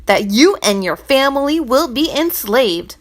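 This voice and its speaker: A loud, furious storybook treasurer who roars and rants at a debtor, as a woman reader puts him on. He is higher than a grown man usually sounds, and very forceful. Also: very animated, a little slow. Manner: ranting, booming. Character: loud angry male royal treasurer.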